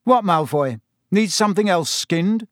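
A man voicing a blunt teenage boy who talks roughly and challenges others. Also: sits high, animated, measured pace, fairly bright tone, no room sound, studio quality, voice pushed up. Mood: wary.

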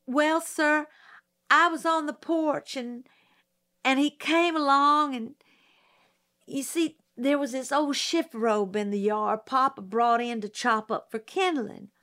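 A frightened, defensive young woman of nineteen on a witness stand, her voice climbing high and tight. She speaks slowly in a plain, rustic way, a woman reader doing her voice in a storybook. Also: sharp tone, full character voice. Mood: accusing. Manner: high, strained, rustic speech.

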